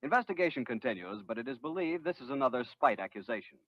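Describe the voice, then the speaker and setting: An actor playing an impersonal radio news announcer, reading a bulletin formally in 1940s style. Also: male voice, medium pitch, animated, fast talker, a bit bright, no echo. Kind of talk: 1940s radio newscast acting. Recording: dull, dry old radio recording.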